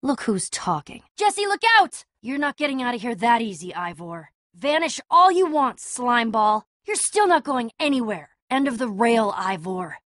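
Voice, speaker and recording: A woman voicing a tough, guarded but loyal young female adventurer in an animated adventure game, husky and blunt, with a casual, earnest delivery. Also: on the high side, normal speed, hardly any pauses, no room sound, studio quality. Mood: taunting, angry. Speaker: game voice actress.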